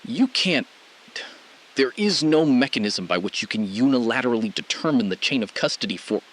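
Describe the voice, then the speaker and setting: An evasive male ground controller played by an actor with a husky voice and a very lively pitch. He is uneasy and official, speaking in halting, formal phrases as in a scripted audio thriller. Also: low-quality recording. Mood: alarmed. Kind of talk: scripted audio drama acting.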